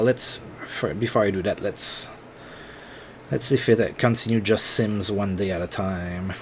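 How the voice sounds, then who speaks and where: A man with a French accent, thinking aloud in halting, casual commentary while playing a management game on his computer. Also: on the low side, a little hiss or hum. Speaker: let's play host.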